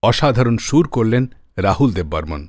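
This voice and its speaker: Male radio host, storytelling narration, animated pitch, dry studio sound. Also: normal speed, dry recording. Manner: lively, conversational storyteller. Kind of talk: storytelling narration on old film music.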